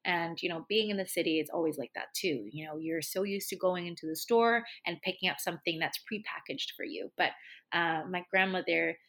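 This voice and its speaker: A woman's voice: fairly low pitch, fairly quick, fairly bright tone. A woman from Hong Kong who works as a bartender and drinks educator, chatting easily in an interview with lots of fillers. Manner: easygoing, chatty, many fillers. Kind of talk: casual interview chat.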